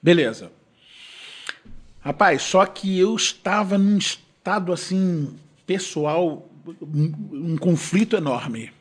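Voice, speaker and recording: A man who is a gospel singer telling a personal testimony in an animated way, acting out the dialogue and moving between loud and hushed. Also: high voice, takes it slowly, slightly rough.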